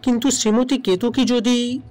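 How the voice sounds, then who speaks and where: A woman acting an earnest, doubting young friend in an audio drama, questioning and gently protesting. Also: fairly high pitch, steady tone, normal speed, natural voice. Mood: doubtful, earnest. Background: background music.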